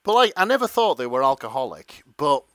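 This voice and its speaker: A man's voice: fairly high pitch, very animated, brisk, a bit bright, no echo. A man co-hosting a podcast, chatting casually, laughing often and stumbling over his words. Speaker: podcast co-host. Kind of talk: casual chat.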